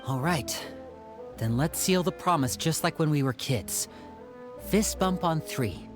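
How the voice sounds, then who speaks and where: An actor playing a young male stage magician who schemes playfully, with a smooth, theatrical delivery. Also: on the high side, lively intonation, measured pace, music in the background. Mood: scheming. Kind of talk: game voice acting.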